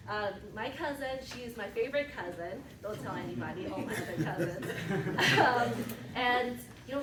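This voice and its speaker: Woman team leader, animated motivational speaker, recorded from far off in an echoey, noisy office room. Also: fairly high pitch. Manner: animated, often asks "right?".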